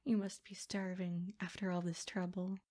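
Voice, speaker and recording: A woman's voice: fairly low pitch, level tone, moderate pace, dark tone, natural voice. A woman voicing a sadistic, possessive princess in an ASMR roleplay, speaking in formal, haughty phrases with silky menace. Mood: coolly menacing. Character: sadistic, possessive yandere princess, smug captor. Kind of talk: ASMR roleplay, scripted character monologue.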